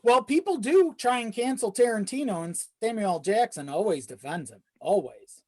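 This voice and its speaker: High-voiced man chatting casually, thinking aloud. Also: animated, moderate pace. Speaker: podcast guest, audio drama creator. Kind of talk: casual reflective podcast chat.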